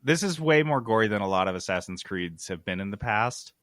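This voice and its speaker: Male podcast host, bright and punchy, dryly recorded, for casual, excitable gamer talk with plenty of swearing. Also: fairly low pitch, brisk, no room sound, clean studio sound. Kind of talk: casual, animated gaming chat.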